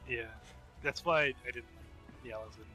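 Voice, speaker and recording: A man's voice: medium pitch, normal speed, bright, forceful tone. A man in casual game voice chat who laughs easily, swears freely and owns up to his mistakes. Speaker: raid member. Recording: game music behind voice chat.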